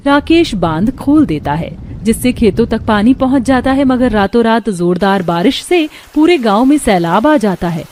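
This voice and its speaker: A woman's voice: a little high, expressive, normal speed, hardly any pauses, darkish tone, slight background noise. A woman reading scripted narration for a cartoon story.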